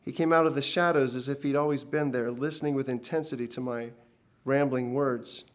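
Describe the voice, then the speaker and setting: A man's voice: mid-range voice, steady tone, a little fast, natural voice. A man voicing a shaken old prophet. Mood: earnest. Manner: hushed, earnest recounting. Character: old male prophet, shaken, earnest and pious.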